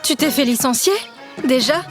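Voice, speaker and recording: An actress playing a brainy, teasing young woman student in a French audio drama, playful and expressive in her manner. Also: high voice, normal speed, natural voice. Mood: concerned. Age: young adult. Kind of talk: French audio fiction acting. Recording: music behind the voice. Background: music.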